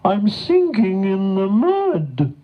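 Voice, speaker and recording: Man putting on a high, plaintive frightened cow. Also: wildly swooping pitch, husky, muffled recording, exaggerated voice. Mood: pleading. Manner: plaintive, drawn-out pleading. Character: frightened young female cow sinking in mud.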